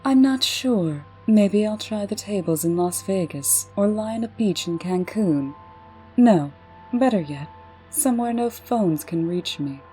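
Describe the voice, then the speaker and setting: A woman playing a brisk, proper, no-nonsense administrator in a comic dub, husky and businesslike. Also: mid-range voice, lively intonation, mellow, close to an everyday voice. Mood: airy, composed. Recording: music behind the voice.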